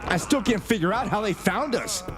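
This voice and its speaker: An actor playing an excitable, anxious male wrestling commentator in a video game. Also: high-pitched, moderate pace. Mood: flustered, excited. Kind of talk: sports commentary. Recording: loud music behind.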